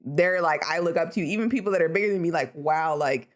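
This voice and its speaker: Brief: woman, slightly low voice, warm-hearted retelling with quoted lines. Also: quick pace. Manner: grateful, animated retelling with quoted dialogue. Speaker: guest gamer. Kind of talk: casual interview chat.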